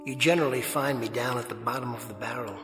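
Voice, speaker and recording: An actor playing an eloquent, sardonic spirit of fate in a radio drama, speaking in grandiose, wordy phrases. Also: male voice, mid-range voice, fast talker, bright, forceful tone, close to an everyday voice. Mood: lofty, grave. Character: eloquent, mocking male spirit of fate and conscience. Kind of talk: vintage-style radio drama acting. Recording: reverberant hall-like echo effect, faint music.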